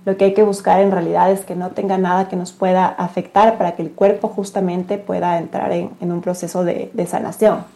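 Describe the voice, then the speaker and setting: A woman's voice: fairly low pitch, level tone, fairly quick, vocal fry, few pauses, mellow. A woman speaking as an interview guest for a business, explaining things conversationally and often answering her own questions. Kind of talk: casual explanatory interview talk.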